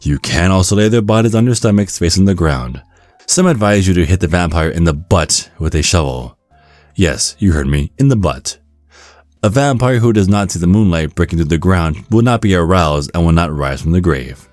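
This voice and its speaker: A man giving a scripted ASMR narration in a measured, instructional storytelling manner. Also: deep voice, lively intonation, darkish tone, dry recording, soft background music. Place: close, dry recording with music behind.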